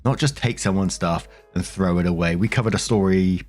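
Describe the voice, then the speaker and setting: A man's voice: sits low, brisk, a little mellow, soft background music. A man hosting and reading online stories aloud in a conversational way, with casual commentary addressed to listeners. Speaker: host narrator of online stories.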